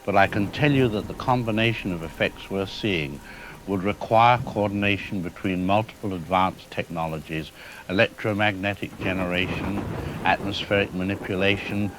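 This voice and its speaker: A man playing a cautious, troubled scientist in a scripted radio drama, explaining technical matters in measured, formal language. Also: sits low, close to an everyday voice. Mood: firm, guarded. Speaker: expert guest. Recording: steady rain-like background noise.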